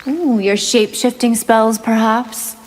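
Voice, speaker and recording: A woman's voice: a little high, fairly even intonation, unhurried, slight background noise, close to an everyday voice. A woman voicing a cold, scheming winged vampire warrior who taunts her foes with disdain in a fighting video game. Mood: dry mockery. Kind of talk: video game character dialogue. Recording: long reverb, loud music behind.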